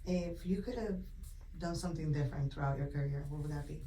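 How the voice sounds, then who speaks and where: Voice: mid-range voice, quick pace, mellow. An interviewer asking short, casual questions with brief backchannels. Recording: echoey room with faint noise.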